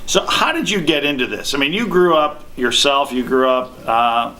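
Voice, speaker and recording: Bright-voiced male interviewer. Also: mid-range voice, expressive, moderate pace. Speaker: interview host. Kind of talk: casual conversational interviewing.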